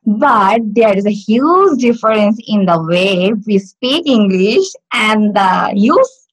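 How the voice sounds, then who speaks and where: A woman from Bangladesh whose first language is Bangla, talking in a halting way with many fillers and restarts as a learner on a video call. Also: mid-range voice, lively intonation, breathy edge, poor audio. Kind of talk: casual video-call interview. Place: home in Stockport.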